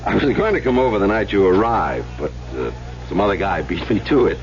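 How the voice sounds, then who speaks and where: An actor playing a friendly, eager suitor in an old-time radio drama, genial and encouraging. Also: male voice, fairly low pitch, big pitch swings, brisk. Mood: friendly, flirting. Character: smooth, eager, friendly male suitor at resort. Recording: dull, noisy old radio recording.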